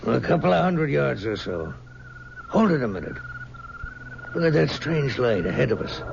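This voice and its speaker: Animated, creaky county sheriff. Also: male voice, medium pitch, normal speed. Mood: tense command. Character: tense small-county sheriff hunting a fugitive. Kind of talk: 1970s radio drama acting. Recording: dull old radio recording, background noise.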